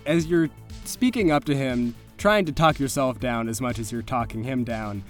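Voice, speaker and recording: A man's voice: mid-range voice, normal speed, faint music behind. A man improvising vivid, descriptive narration as he runs and plays a tabletop role-playing game. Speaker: game master and player.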